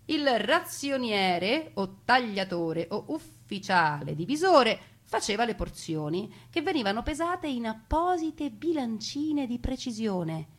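Husky woman telling a dramatic story. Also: fairly high pitch. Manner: expressive, deliberate dramatic pauses and emphasis. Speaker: stage storyteller. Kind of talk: theatrical dramatic monologue, narration.

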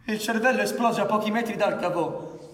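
A man's voice: high-pitched, a little fast, background noise, lightly acted. A man acting as narrator in a radio theatre play, delivering dramatic, declamatory narration. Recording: echoey reverberant hall, live music over voice. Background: live music.

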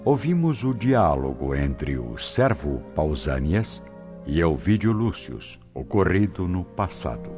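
Male narrator for a radio serial, deep bass, wide melodic swings, music behind. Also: very animated, slow, muffled recording. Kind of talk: dramatic scripted radio narration.

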